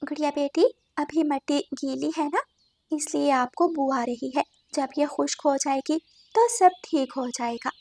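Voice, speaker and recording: A woman voicing a patient mother sparrow in a cartoon, speaking softly and reassuringly. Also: high-pitched, unhurried, no echo, pitched above the performer's own voice. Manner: soft, reassuring.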